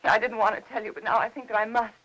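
An actress playing an anxious, evasive American housewife in her thirties in a 1950s film noir, with a halting, nervous delivery. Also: on the high side, level tone, fairly bright tone, lo-fi sound. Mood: desperate, pleading. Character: anxious, evasive young housewife hiding panic. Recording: hissy old 1950s film soundtrack.

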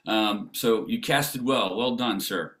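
A middle-aged man hosts a Q&A over a video call from a room full of bookshelves. He speaks haltingly, restarting sentences often, with an encouraging tone. His voice sits at an ordinary pitch. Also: a bit bright. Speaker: host. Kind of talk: casual Q&A interview on a video call.

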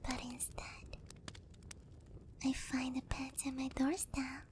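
A woman playing a seductive, doting, gently dominant giant demon queen in a soft, breathy whispered ASMR roleplay, teasing and coaxing, close to the microphone. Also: sits high, completely flat delivery, slow, noisy background. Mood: teasing, fond.

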